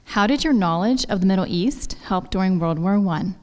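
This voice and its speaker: A woman hosts an interview show, asking polite, formal questions from a script. Her voice sits at a middle pitch. A long hall reverb trails behind her. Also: animated, moderate pace. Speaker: show host.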